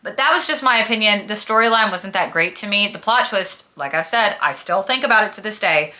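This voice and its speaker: A woman's voice: medium pitch, lively intonation, fairly quick, bright, forceful tone. A woman talking casually as a book vlogger, with a gushing, emphatic, dramatic and slangy manner. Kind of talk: casual chatty book review vlog.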